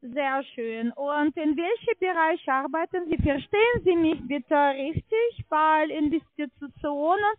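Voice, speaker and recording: A woman speaks in a very high voice with a foreign accent. She delivers a sales pitch slowly and haltingly, often hunting for the next word. The sound is a dry, tinny telephone line. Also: expressive, no echo. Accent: foreign accent in German. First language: not German. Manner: halting, hesitant speech with frequent searching for words. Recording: tinny narrowband phone line.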